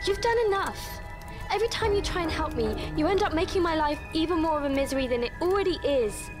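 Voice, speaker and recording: A bitter, sardonic young woman, played by an actress, in an audio drama. Her voice is high and reproachful, with clear music behind it. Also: lively intonation, fast. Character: bitter, sarcastic young woman with old grievances.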